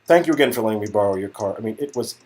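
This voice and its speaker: A man's voice: lowish voice, expressive, rapid-fire, a little mellow, lightly acted. A man playing a deferential, eager junior talent agent in a comic dialogue reading, polite and hesitant. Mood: meek, earnest.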